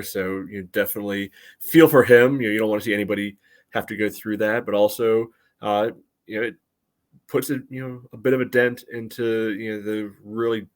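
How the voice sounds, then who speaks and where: A man co-hosting a sports podcast, giving casual analysis in a hesitant, loosely phrased way full of "you know". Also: fairly low pitch.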